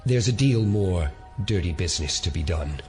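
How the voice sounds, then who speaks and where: An actor playing a menacing conspiracy leader in an audio drama, measured and coldly courteous as he speaks with deliberate weight. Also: sits low, lively intonation, darkish tone, soft background music. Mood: cold, commanding. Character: menacing male elder leading a secret conspiracy.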